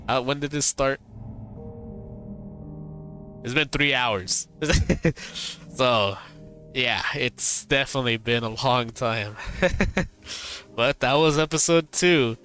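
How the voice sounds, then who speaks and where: A male streamer reading a visual novel's dialogue aloud, his voice tired and strained, halting and repeating words between laughs. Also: mid-range voice, sharp tone, soft background music. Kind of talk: reading game dialogue aloud, then casual chat.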